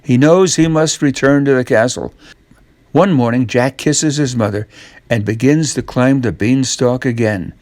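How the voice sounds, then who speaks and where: A man narrating a scripted children's story, reading aloud in a measured, expressive way. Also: medium pitch, dry recording.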